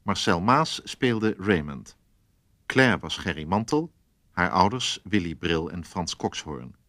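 A man's voice: deep voice, lively intonation, takes it slowly, pushed and bright, no room sound, studio quality. A man reading scripted closing credits as a radio announcer.

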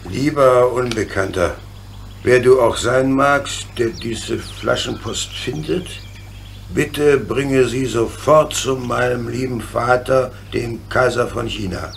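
Hearty locomotive driver, male actor: creaky, gravelly, sensible, plain-spoken, children's radio drama. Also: medium pitch, slow pace, a little hiss or hum. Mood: grave reading aloud. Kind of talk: radio play acting for children.

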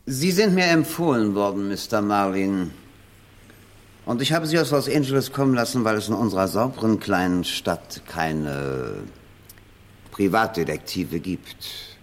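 A man's voice: on the low side, expressive, unhurried. An actor playing a stiff, condescending rich businessman in a 1970s radio crime play, speaking formally. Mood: haughty, displeased. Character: haughty rich businessman, stiff male client of detective. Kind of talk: 1970s radio drama acting.

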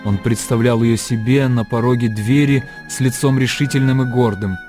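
A male narrator tells a literary radio drama in an expressive storytelling style. His voice sits at a medium pitch. Music plays clearly behind him. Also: moderate pace.

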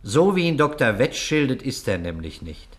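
Pensive, grumbling police inspector played by an actor. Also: male voice, medium pitch, big pitch swings, normal speed, close to an everyday voice. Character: brooding male police inspector, grumbling, wryly sarcastic. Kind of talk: 1960s German radio thriller acting.

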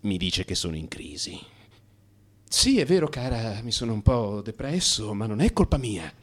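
Anxious husband, wildly swinging pitch. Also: male voice, big pitch swings, normal speed. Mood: uneasy confiding. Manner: agitated, emphatic, swinging from hushed mutter to outburst. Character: anxious married man in crisis confessing to his analyst. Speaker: actor. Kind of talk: theatrical radio monologue.

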